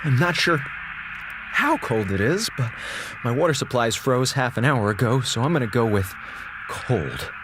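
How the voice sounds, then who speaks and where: An American actor playing an aggrieved space station communications officer in a radio drama, with theatrical, sarcastic griping. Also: male voice, mid-range voice, sing-song, normal speed, noisy background, natural voice. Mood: wry. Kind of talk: radio drama acting, comic log monologue. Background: music.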